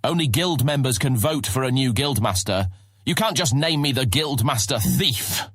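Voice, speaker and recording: A man's voice: mid-range voice, lively intonation, moderate pace, fairly bright tone, breathy edge, no room sound, clean studio sound. An actor playing Raylan, a young street rat turned guildmaster who pushes back against his scornful brother. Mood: objecting. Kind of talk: audio drama acting.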